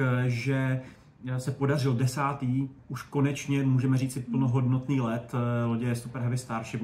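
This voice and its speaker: A man's voice: mid-range voice, darkish tone. A man speaking as an expert guest in a conversational interview, digressing and often correcting himself as he goes. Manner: digressive, hesitant, self-correcting. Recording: echoey room with faint noise.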